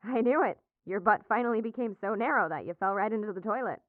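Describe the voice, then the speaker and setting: A woman's voice: medium pitch, quick pace, dry recording, phone-quality sound, close to an everyday voice. A woman voicing a brash young woman who teases with playful jabs. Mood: teasing. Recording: voice a little off mic.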